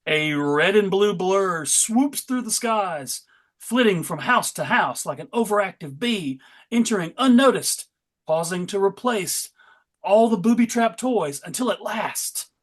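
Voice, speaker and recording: A man's voice: high-pitched, expressive, measured pace. A man hosting and narrating a comic book table read in a dramatic storytelling style. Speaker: narrator and host.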